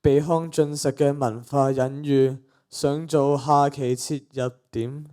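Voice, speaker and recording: A man's voice: mid-range voice, fairly even intonation, slow pace, warm tone, lightly acted. A teenage boy with a Cantonese accent playing an exasperated, principled young content creator in a radio play, with a sighing, grumbling manner. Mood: stunned. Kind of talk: student radio play acting.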